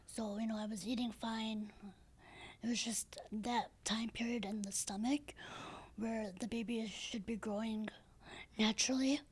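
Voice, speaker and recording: A woman in her thirties with a low, raspy, breathy voice, speaking softly and hesitantly as a podcast guest, with many fillers and restarts. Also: fairly even intonation, unhurried. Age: 30s. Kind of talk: casual interview talk.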